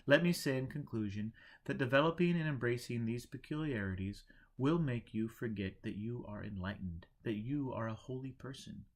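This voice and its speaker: A man's voice: mid-range voice, lightly acted. Man reading as a preachy, imperturbable monk. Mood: calmly teasing. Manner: didactic, deliberate pronouncements. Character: imperturbable male monk teacher, preachy and teasing.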